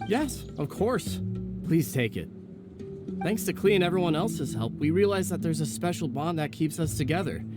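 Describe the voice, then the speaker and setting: A man's voice: a little high, expressive, moderate pace, natural voice. A man playing a kindly, proud, brainy adventurer in a video game, with an earnest, reassuring delivery. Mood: reassuring, warm. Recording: music playing behind the voice.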